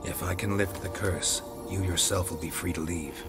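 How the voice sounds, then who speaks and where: An actor playing a gruff, stoic monster hunter in a video game, asking blunt questions in a terse, deadpan way. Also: male voice, low-pitched, normal speed, lightly acted. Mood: calm, probing. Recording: loud music under the voice. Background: game soundtrack music.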